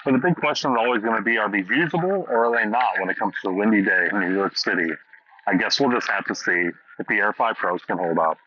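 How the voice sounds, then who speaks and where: A man's voice: on the low side, fast talker. A man speaking casually outdoors on a windy street, recorded through earbud microphones. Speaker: tech reviewer. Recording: dull earbud mic with faint wind noise.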